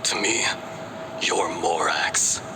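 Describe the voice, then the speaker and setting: A man playing the calm, solemn spirit of an ancient dragon in a video game cutscene, speaking in a measured, formal way. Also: low-pitched, noisy background. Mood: weary, reflective. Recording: loud music over the voice. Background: loud cinematic music.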